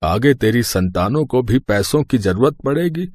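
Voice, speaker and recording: An elderly, ailing father acted by a man speaks his farewell in a storybook tale. The pitch is average for a man and the sound is dry, without room echo. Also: lively intonation, moderate pace, a little mellow, close to an everyday voice. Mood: tender, solemn. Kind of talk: scripted story dialogue.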